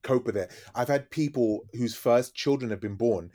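A man, a DJ and radio host talking quickly and eagerly as a podcast guest. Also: mid-range voice. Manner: fast-talking, animated storytelling. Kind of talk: casual interview chat.

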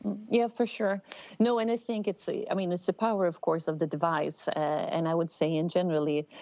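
A woman's voice: lowish voice, little pitch movement, fairly quick, darkish tone, dry recording, highs cut off. A middle-aged woman from Sweden with a Swedish accent, giving a thoughtful interview answer with many fillers and restarts. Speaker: telecom executive guest. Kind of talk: conversational interview.

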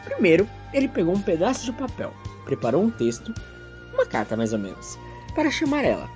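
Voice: mid-range voice, huge pitch swings, a little fast, a little mellow, soft background music. A narrator telling a scripted story in a theatrical, self-mocking way with playful asides.

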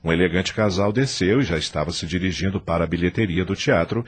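A man's voice: sits low, fast, husky. A man with a Brazilian accent narrating a scripted radio drama in a solemn, dramatic storytelling manner.

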